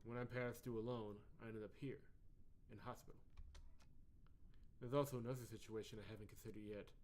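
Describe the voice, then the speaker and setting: A man voicing an earnest, puzzled visual novel protagonist who reasons things through deliberately. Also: lowish voice, steady tone, a little hiss or hum, lightly acted. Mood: puzzled, reasoning. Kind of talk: reading visual novel dialogue and inner monologue.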